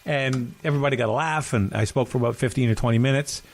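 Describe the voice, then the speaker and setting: A man's voice: medium pitch, fast. A middle-aged man co-hosting a podcast, chatting casually and telling rambling stories full of restarts, with an occasional cough. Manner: rambling, self-correcting storyteller. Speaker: podcast co-host. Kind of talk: casual chat.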